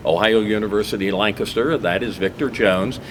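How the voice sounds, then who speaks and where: Middle-aged guy hosting local tv chat, low voice, relaxed, lots of uhs. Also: a little fast, slight background noise. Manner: easygoing, lots of fillers. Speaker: host.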